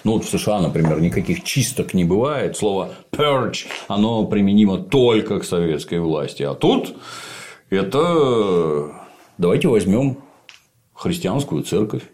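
A man's voice: deep voice, lively intonation, unhurried, darkish tone. A man in his late fifties talking in a blunt, slangy, emphatic way in casual conversation. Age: 50s.

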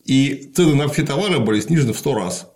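A man in a casual conversation, explaining history at length in a lecturing way, often pausing with fillers. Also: medium pitch, animated, a little mellow.

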